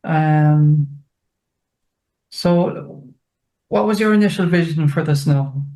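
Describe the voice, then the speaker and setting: A woman interviewing an author, measured and summarising before she asks her question. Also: sits low, dark tone, rough recording. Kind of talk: literary interview.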